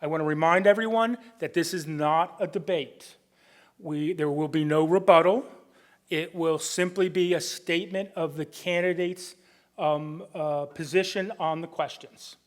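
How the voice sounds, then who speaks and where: Booming-voiced man moderating with many fillers. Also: steady tone, unhurried. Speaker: debate moderator. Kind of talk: moderating a candidates night. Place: public meeting room.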